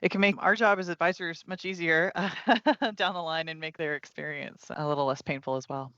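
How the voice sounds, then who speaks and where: A man's voice: on the high side, fairly even intonation, normal speed, pushed and bright, clear, resonant tone, no room sound, dull audio. A man hosting a conversational business podcast as a tax advisor, agreeable in his responses. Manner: agreeable, chuckles mid-sentence.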